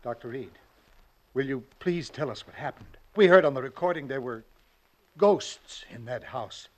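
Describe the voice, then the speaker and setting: A man's voice: mid-range voice, animated, moderate pace, a little mellow, close to an everyday voice. Man doing a worried investigator in an old radio play, pushy questions, plain mid voice. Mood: urgent. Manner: urgent, pressing questions. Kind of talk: 1940s radio acting.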